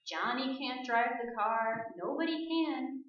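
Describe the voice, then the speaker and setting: Woman as a high-voiced, dazed survivor, echoey. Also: measured pace, fairly bright tone. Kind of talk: staged radio play acting. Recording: echoing stage, dull sound.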